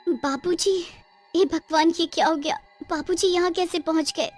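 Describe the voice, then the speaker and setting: A woman voicing an eager but timid young village girl in a cartoon story, earnest and expressive. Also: very high-pitched, normal speed, faint music behind, voice pushed up. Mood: anxious, pleading. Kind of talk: Hindi cartoon story acting.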